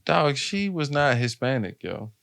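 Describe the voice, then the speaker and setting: Bright-voiced man bantering casually, close and dry. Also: medium pitch, very animated, measured pace, creaky, no echo. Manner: joking, slangy, blunt. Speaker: podcast co-host.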